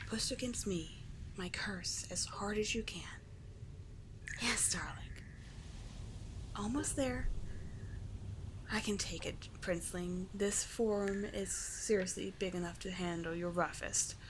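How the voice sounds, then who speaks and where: A woman voicing a doting, teasing sea witch mermaid in an ASMR roleplay, soft and breathy, often near a whisper, with soothing, formal storybook phrasing. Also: medium pitch, slow, long pauses, noisy background, lightly acted. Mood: patient, coaxing.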